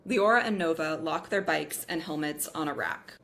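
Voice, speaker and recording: A woman's voice: deep voice, normal speed, vocal fry, fairly bright tone. A woman reading the scene directions of a script as narrator at a table read.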